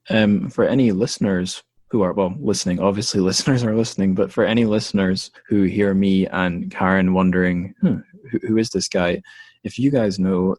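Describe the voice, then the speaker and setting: A man hosting a book podcast, talking in a relaxed, rambling way with fillers and self-corrections. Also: low voice, no room sound. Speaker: podcast host. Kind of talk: casual interview chat.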